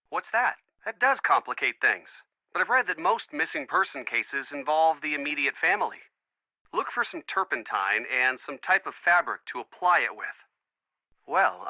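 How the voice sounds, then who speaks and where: An actor playing an earnest, wisecracking young American boyfriend, chatty and eager, in an adventure game. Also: male voice, on the high side, bright, forceful tone, dry recording, heavily acted, poor audio. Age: young adult. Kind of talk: scripted adventure game dialogue.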